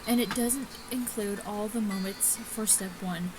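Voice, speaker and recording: A woman hosting a casual let's play, reading game text aloud in a halting way with small stumbles. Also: mid-range voice, steady tone. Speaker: gaming video host. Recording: clearly noisy home recording.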